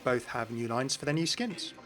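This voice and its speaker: A man hosting a gaming video with scripted lore narration. His voice is mid-pitched, with music playing faintly underneath. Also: expressive, moderate pace.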